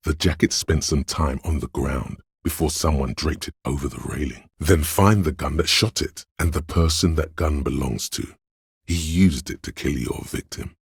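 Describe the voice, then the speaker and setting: A man voicing a detective's analytical inner voice in a video game, narrating in a deliberate, precise and literary way. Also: no echo, studio quality, exaggerated voice. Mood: analytical, measured. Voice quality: very deep, gravelly, husky. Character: inner voice of a detective's spatial reasoning, measured and analytical. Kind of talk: scripted game narration in second person.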